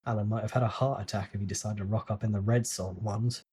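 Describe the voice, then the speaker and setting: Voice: medium pitch, steady tone, quick pace, mellow, a little hiss or hum. A narrator giving a dramatic, scripted reading of a novel.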